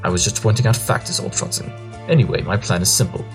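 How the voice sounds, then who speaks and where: A very low male voice acted by a man for a pompous cartoon pony detective. He sounds brisk, clever and fully sure of himself. Faint music plays behind him. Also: close to an everyday voice. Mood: self-important. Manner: pompous, brisk, self-assured. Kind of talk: animated fan drama acting. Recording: music under the voice.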